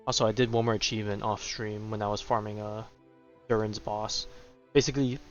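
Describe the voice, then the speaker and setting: A man's voice: lowish voice, steady tone, a little fast, lo-fi sound, soft background music. A man giving casual, chatty live commentary as he streams a video game.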